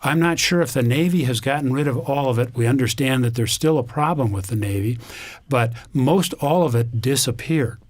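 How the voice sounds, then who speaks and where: A man's voice: medium pitch, normal speed, no echo. A man speaking as a guest on a radio talk show, explaining things earnestly. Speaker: interview guest. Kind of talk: conversational radio interview.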